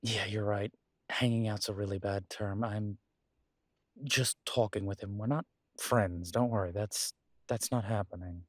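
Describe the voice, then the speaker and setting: A man playing a conflicted, protective werewolf pack leader in an intimate audio roleplay, speaking hesitantly and reflectively with halting pauses. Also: on the low side, fairly even intonation, dry recording, clean studio sound. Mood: reassuring.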